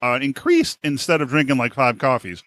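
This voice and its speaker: Halting male podcast host, dry close sound. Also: medium pitch, very animated, a bit bright, no echo. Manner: halting, searching for words. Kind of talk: casual interview chat.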